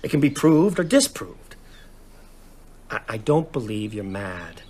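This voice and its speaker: An actor playing a skeptical, rattled man in a radio drama, arguing haltingly and with disbelief. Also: fairly low pitch, very animated, a little mellow, slightly rough, full character voice.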